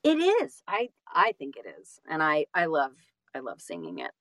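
A woman speaks as a guest in a casual interview. Her voice sits a little low and the sound is very dry. She is effusive, often breaking off and rephrasing as she goes. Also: huge pitch swings, fairly bright tone, no echo. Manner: effusive, halting and self-correcting. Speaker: interview guest, singer and audiobook narrator.

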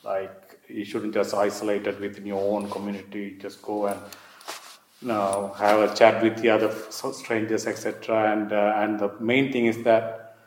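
A man's voice: on the low side, little pitch movement, moderate pace, a little hiss or hum. A man with a Sri Lankan accent recounting his own experiences as an interviewee, often repeating his phrases. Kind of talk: oral history interview, personal recollection. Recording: echoey room.

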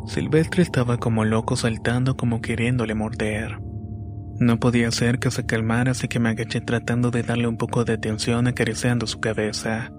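A man narrates paranormal tales from a script in a deliberate, measured way. His mid-pitched voice stays very even, with little rise or fall. Music plays behind him. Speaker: narrator of listeners' paranormal stories. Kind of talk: scripted horror story narration. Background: background music.

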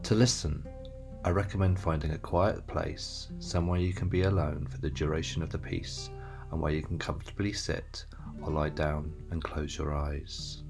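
A man reading a scripted introduction to an audio piece in a measured, instructional way, with a low, gravelly voice. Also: fairly even intonation. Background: plucked guitar music.

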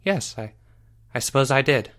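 A man's voice: mid-range voice, animated, moderate pace, a bit bright, lightly acted. A man voicing an anxious, earnest schoolboy who speaks politely and hesitantly in a story. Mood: guilty.

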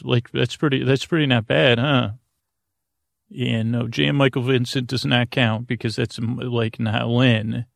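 A man with a creaky voice hosting a bedtime sleep podcast, talking in a halting, meandering way full of tangents. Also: mid-range voice, dry recording, clean studio sound. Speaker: podcast host.

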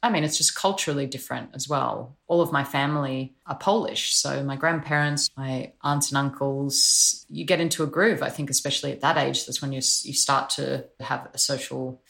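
A woman's voice: very deep voice, fairly even intonation, normal speed, gravelly, hardly any pauses, fairly bright tone. A woman, a drummer talking thoughtfully in an interview. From Australia. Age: 30s. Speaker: drummer, guest. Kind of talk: relaxed reflective conversation.

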